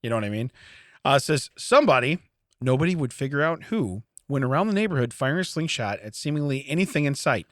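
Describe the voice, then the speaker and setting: A man with a mid-pitched, very animated voice chats casually as a podcast co-host. He reads from a story and breaks off for wry, joking commentary. The sound is dry, with almost no room in it. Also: fast, dry recording. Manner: jokey, wry running asides. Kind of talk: casual comedy chat, reading and riffing on a news story.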